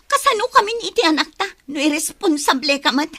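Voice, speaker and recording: A woman with an Ilocano Filipino accent playing a bitter, quick-tempered young pregnant woman in a radio serial, with emotional, accusing delivery. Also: sits high, very animated, pushed and bright, no room sound, exaggerated voice. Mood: furious. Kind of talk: radio serial drama acting.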